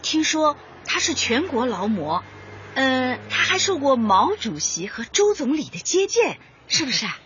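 Bright, plucky young woman, high voice. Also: measured pace, highs cut off, slight background noise, heavily acted. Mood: awed. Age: young adult. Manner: exclamatory, expressive, outspoken. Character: eager, plucky young female community officer. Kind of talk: radio drama acting.

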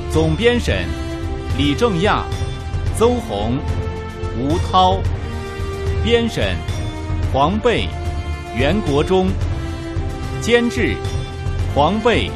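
A man announcing a radio drama and its credits in a formal, scripted style. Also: sits high. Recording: clear music behind the voice.

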